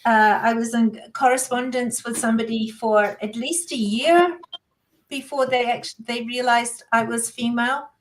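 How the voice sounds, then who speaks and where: Chatty older New woman, high voice. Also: steady tone, rough recording. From New Zealand. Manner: halting, many fillers and restarts. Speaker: guest. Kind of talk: casual chat over a livestream call.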